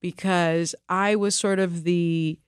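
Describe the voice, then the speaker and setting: Expressive middle-aged woman, dry close mic. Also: fairly low pitch, takes it slowly, clear, resonant tone, dry recording. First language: Spanish. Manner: animated, emphatic, with laughs and restarts. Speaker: co-host and guest. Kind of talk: candid conversational interview.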